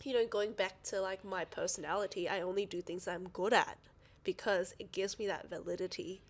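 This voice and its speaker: A woman's voice: mid-range voice, steady tone, noisy background. A woman in her thirties, a podcast co-host, talking casually and a little sniffly, often restarting her sentences. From Australia. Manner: rambling, self-interrupting, quick to laugh. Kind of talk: casual chat.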